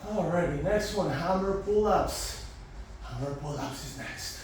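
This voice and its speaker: A man's voice: a little high, measured pace, very echoey, far from the mic, background noise. A man with a congested, blocked-nose voice, coaching a home garage workout and cheering viewers through their reps. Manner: energetic, encouraging, counting reps. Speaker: fitness coach. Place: garage gym.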